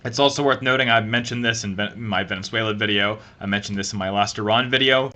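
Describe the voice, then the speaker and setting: A man's voice: mid-range voice, pushed and bright. A man giving an opinionated, sarcastic political commentary rant. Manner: sarcastic, fast-talking.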